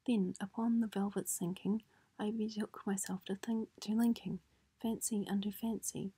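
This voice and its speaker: Whispering, breathy woman reading gothic poetry. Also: mid-range voice, steady tone, no echo. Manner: hushed, measured, dramatic recitation. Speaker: poetry reader. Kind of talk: softly spoken ASMR poetry reading. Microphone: close microphone.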